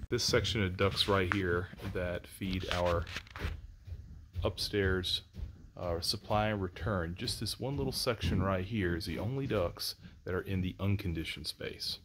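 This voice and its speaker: A man's voice: deep voice, slight background noise. A man in his forties, an HVAC contractor, explaining a test in a practical, plainspoken way inside a house under construction, filmed on a handheld phone. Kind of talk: instructional job-site vlog.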